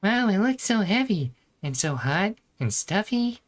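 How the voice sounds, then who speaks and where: Cute, excitable fairy sidekick. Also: female voice, mid-range voice, unhurried. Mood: amazed. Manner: childlike, exclaiming, speaks of self in third person. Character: small childlike floating fairy companion, excitable, greedy, cute. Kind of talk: video game voice acting.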